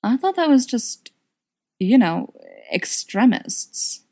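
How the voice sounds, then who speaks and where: A woman's voice: a little high, sing-song, takes it slowly, darkish tone, voice pushed up, full character voice. A woman voicing a nervous young man in an audiobook, meek, hesitant and evasive. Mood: uncertain.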